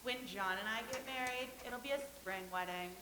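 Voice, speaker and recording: An actress playing a dreamy young actress hoping to marry, earnest and lovestruck, in a staged play reading. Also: female voice, on the high side, normal speed, echoey room, background noise, close to an everyday voice.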